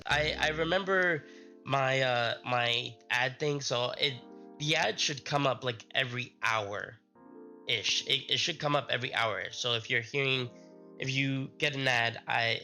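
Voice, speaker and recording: A man's voice: mid-range voice, bright, forceful tone, soft background music. Sick man rambling on a stream. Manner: rambling, halting with restarts. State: sick, voice strained. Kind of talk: casual live-stream chat.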